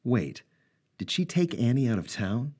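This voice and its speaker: A man's voice: mid-range voice, very animated, unhurried, warm tone, breathy edge, voice pushed up. A man playing a dogged ex-cop investigator. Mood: gentle.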